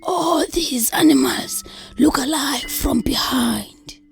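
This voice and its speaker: An actress playing a soothing, cautious wind spirit in children's audio theatre, with a breathy, whispery voice. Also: female voice, sits high, slow, soft background music, exaggerated voice. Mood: observing.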